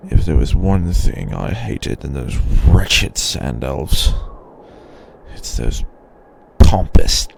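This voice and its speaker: A man playing an arrogant, possessive male blue dragon in a slow roleplay ASMR monologue, with a gravelly, breathy growl and a haughty, rambling manner. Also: rumbling low, darkish tone, slight background noise, exaggerated voice. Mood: scornful. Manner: haughty, rambling, with pauses and sighs.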